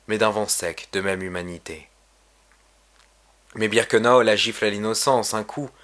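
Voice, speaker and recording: A man reading his own poem aloud in a solemn, measured, literary recitation. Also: fairly low pitch, a bit bright. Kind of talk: poetry recitation.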